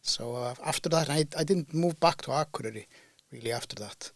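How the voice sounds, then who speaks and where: A man's voice: mid-range voice, brisk, creaky, no echo. An older man with an Icelandic accent, a guest in a podcast interview, talking about his career thoughtfully with frequent fillers and restarts. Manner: thoughtful, hesitant with many fillers. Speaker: guest ecologist. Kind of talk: relaxed interview talk.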